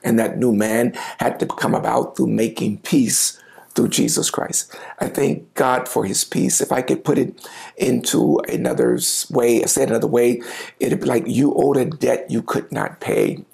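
A middle-aged man, a pastor, preaching a sermon to the camera from a home office, reading scripture and explaining it with lively gestures. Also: medium pitch, moderate pace, vocal fry. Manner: animated gestures, reading and explaining scripture, occasional quiet asides. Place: home office with bookshelves, indoors. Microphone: lapel mic, seated at desk.

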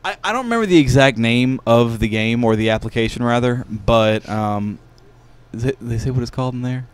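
A man's voice: mid-range voice, animated, normal speed, clear, resonant tone. Rambling, wry man chatting casually. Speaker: podcast co-host. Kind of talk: casual comedic podcast chat, storytelling. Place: small indoor podcast studio. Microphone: close studio microphone with headphones.

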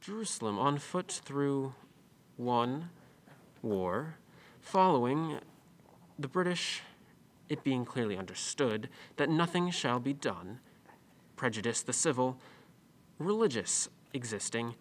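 A man's voice: medium pitch, lively intonation, takes it slowly, long pauses. A man playing a history lecturer, reciting formally in declamatory, broken phrases. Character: male history lecturer droning through dates and wars.

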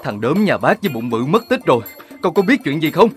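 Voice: mid-range voice, big pitch swings, a little fast, faint music behind, lightly acted. An actor voicing a grown-up dog in a children's cartoon, emphatic and theatrical in delivery. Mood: concerned. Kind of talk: animated children's cartoon dubbing.

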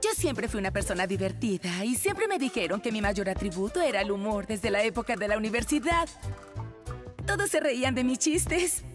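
A woman playing an eccentric, flustered middle-aged mother in a cartoon dub, theatrical and expressive. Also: a little high, natural voice. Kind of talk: animated children's cartoon dub.

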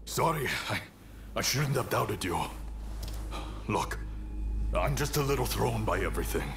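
An actor playing a boisterous, outspoken, hot-headed samurai officer in a video game. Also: male voice, lowish voice, animated, unhurried, a bit bright, slight background noise. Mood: apologetic. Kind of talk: acted video game cutscene dialogue.

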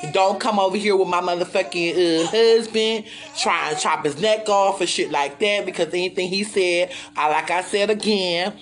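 A woman's voice: mid-range voice, a bit bright. A middle-aged American woman on a casual livestream, ranting bluntly and emphatically with lots of swearing, repeating her points. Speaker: livestream host. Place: living room, indoors. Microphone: phone at arm's length. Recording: noisy phone livestream.

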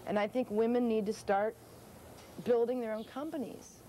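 Blunt, outspoken young woman, mellow tone. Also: fairly high pitch, fairly even intonation, moderate pace, a little hiss or hum. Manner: blunt and outspoken, swears freely. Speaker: musician. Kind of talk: documentary interview. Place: outdoors beside a pale wall.

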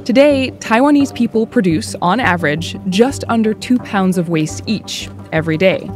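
A woman's voice: mid-range voice, music in the background. Woman narrating a news report. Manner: even, measured voice-over delivery.

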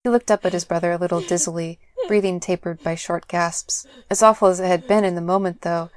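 A woman's voice: on the low side, fairly even intonation, a little fast, no echo. A woman reading scripted story narration as the narrator of an audio drama.

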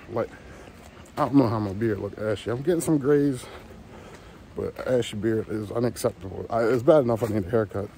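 A man's voice: fairly low pitch, warm tone. A man, rambling casually in slangy, offhand, joking vlog chat. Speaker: podcast host and photographer. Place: outdoors by trolley tracks on a trestle. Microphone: handheld phone at arm's length. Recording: noisy outdoor phone recording. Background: clear outdoor ambient noise.

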